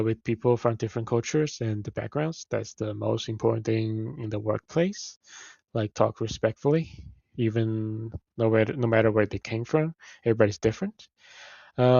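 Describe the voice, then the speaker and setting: A young male student with a Mandarin Chinese accent talks through his class assignment in a flat, gravelly voice, stopping and restarting often. The recording is dry and somewhat muffled. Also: medium pitch, no echo. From China. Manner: halting, frequent restarts and fillers. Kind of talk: reading a class presentation.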